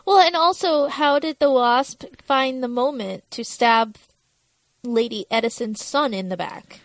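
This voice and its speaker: A woman's voice: fairly high pitch, unhurried, no echo. A woman co-hosting a podcast, casually talking through the plot of a TV episode. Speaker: podcast co-host.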